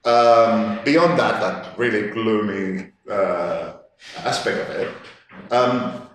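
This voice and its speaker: A man, speaking as a panellist in a discussion, halting and restarting, repeating words as he searches for them. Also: fairly low pitch, a little hiss or hum. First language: Catalan. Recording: echoey gallery room, distant mic.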